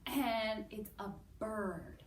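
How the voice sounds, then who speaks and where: Slow-paced Canadian woman, children's presenter. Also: medium pitch. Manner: animated, simple drawn-out wording with guessing questions. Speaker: children's library story time host. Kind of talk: educational presentation for young children. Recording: roomy, distant mic with background noise.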